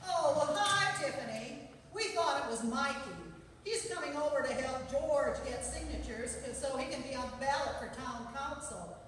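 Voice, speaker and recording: Woman playing a high-voiced, sensible wife. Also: normal speed, fairly bright tone, slight background noise. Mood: friendly. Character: sensible, dryly teasing wife. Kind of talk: stage radio play acting. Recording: echoey hall, far from mic.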